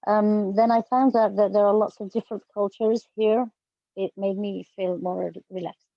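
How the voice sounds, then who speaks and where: A woman's voice: mid-range voice, fairly even intonation, moderate pace, vocal fry, no room sound, lo-fi sound. A woman from Yemen, a student guest, speaking carefully and hesitantly with frequent fillers.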